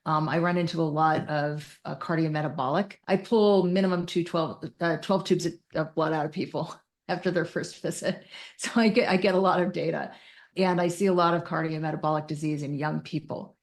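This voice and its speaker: A woman's voice: deep voice, steady tone, fairly quick. A woman explaining things as an expert guest, emphatic and detailed, mixing technical jargon with casual wording. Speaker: podcast guest, mental health clinician.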